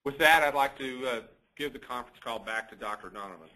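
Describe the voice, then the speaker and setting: A man's voice: medium pitch, quick pace, bright, forceful tone, rough recording. A man speaking as a chief financial officer, giving a businesslike, matter-of-fact financial report on a conference call.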